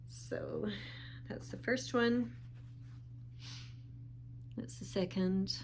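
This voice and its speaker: A woman talking casually in a show-and-tell vlog, calm and unhurried with small pauses, sounding a bit sniffly. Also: mid-range voice, creaky, a little hiss or hum. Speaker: vlogger.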